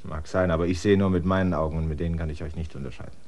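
A man's voice: sits low, steady tone, very fast, a little mellow, lightly acted. An actor playing a weary, suspicious land surveyor who gives his assistants firm orders in a radio play. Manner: tired, suspicious and commanding. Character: weary stranger, a land surveyor, middle-aged man. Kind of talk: classic German radio drama acting.

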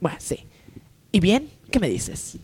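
A man's voice: sits high, wildly swooping pitch, unhurried, a little mellow, slightly rough, exaggerated voice. A man playing a jealous, bossy rich woman in a parody radio soap, melodramatic and haughty. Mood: brisk, scheming.

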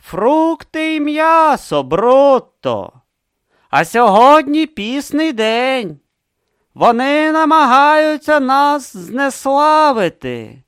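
A man voicing a stern, cold male high inquisitor who speaks slowly and severely. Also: very animated, fairly bright tone, no room sound, clean studio sound, exaggerated voice. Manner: slow, severe, pronouncing.

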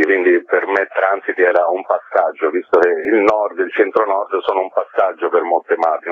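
A man's voice: sits low, husky. A man from Sicily explaining his theatre project as an interview guest, heard over a phone line. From Sicily, Italy. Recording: tinny phone line, roomy echo.

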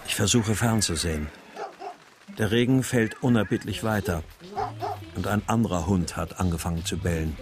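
An actor playing an exhausted, despairing father, narrating a radio crime drama in a brooding, measured way. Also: lowish voice, lightly acted. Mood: weary, brooding. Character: despairing, exhausted father searching for his abducted daughter.

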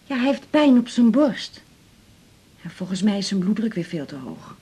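A woman's voice: mid-range voice, big pitch swings, normal speed, warm tone. An actress with a Netherlands Dutch accent playing an anxious, affectionate middle-aged mother in a radio drama, fretful and hesitant. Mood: anxious, confiding. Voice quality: husky, breathy.

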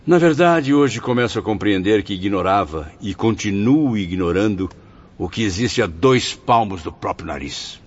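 An actor with a Brazilian accent playing a proud, embittered blind writer's spirit in a radio soap, declaiming theatrically. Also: male voice, on the low side, expressive, moderate pace, breathy edge. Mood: bitter, remorseful. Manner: theatrical, declamatory, grandiose, with swelling and sinking emphasis. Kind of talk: radio soap opera acting. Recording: dull lo-fi audio, music behind.

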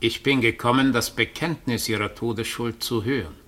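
An actor playing a sinister double, a cool and commanding emissary of a court, speaking tersely in a radio play. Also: male voice, medium pitch, normal speed, a bit bright, close to an everyday voice. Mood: cold, commanding. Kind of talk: 1960 German radio drama acting.

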